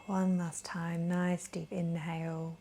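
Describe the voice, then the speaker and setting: A woman leading a guided meditation in a gentle, soothing, soft voice that is breathy at times. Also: on the low side, little pitch movement, a little hiss or hum. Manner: gentle, soothing, unhurried with long pauses. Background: soft chimes.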